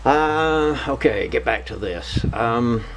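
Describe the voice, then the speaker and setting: A man giving a casual, unscripted hobby vlog narration in a halting way, often repeating words. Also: medium pitch, animated, slight background noise, poor audio.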